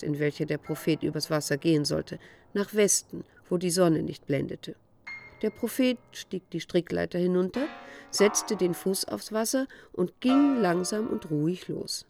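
A woman narrating a literary radio drama in a measured, sober, matter-of-fact storytelling manner. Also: fairly low pitch, creaky, mellow, faint music behind.

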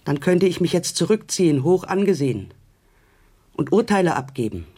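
An actor playing an agitated man who fancies himself a lofty judge of culture, husky and exclamatory in a radio drama. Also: fairly high pitch, sing-song, moderate pace, mellow. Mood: grandiose, excited.

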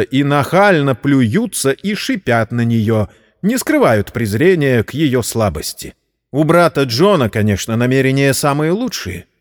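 Male storyteller in a long reverb. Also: mid-range voice. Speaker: narrator. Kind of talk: scripted dramatic story narration. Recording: long reverberant hall sound.

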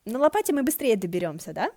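A woman's voice: a little high, sing-song, quick pace, darkish tone, no echo, clean studio sound, pitched above the performer's own voice. A woman voicing a playful, chatty young male wind deity in a fan-fiction audiobook, gushing and full of eager questions. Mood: eager, friendly. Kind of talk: audiobook character voice.

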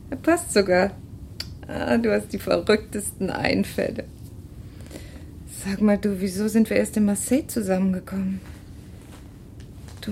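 Creaky-voiced wistful woman refugee played by an actress, roomy sound. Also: mid-range voice, a little mellow, natural voice. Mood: playful, delighted, then anxious. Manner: wistful, searching, dramatic phrasing. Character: anxious, tender woman refugee parting from her lover. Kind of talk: intimate radio play dialogue. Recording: roomy sound with faint ambient noise.

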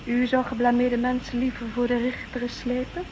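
An actress playing a composed, loyal secretary in a 1960s radio drama, polite and reserved but quietly probing. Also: female voice, fairly high pitch, completely flat delivery, fairly quick, natural voice. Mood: probing, concerned. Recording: noisy 1960s radio recording.

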